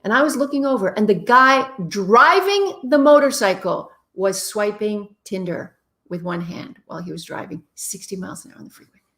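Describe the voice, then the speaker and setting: A middle-aged woman with a warm voice hosts a live show, reading out viewer questions and answering them with blunt, direct advice. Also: mid-range voice. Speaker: relationship advice show host.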